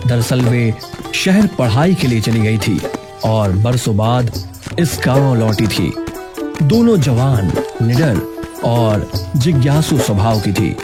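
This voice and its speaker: A man narrates a cartoon story slowly and with suspense. His pitch rises and falls with drama. Music plays clearly under the telling. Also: big pitch swings.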